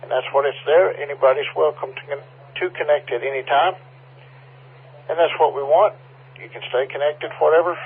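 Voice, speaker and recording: A man's voice: medium pitch, a little hiss or hum. A man making an informal announcement over an amateur radio link, explaining things in a conciliatory way. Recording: muffled phone-like amateur radio transmission.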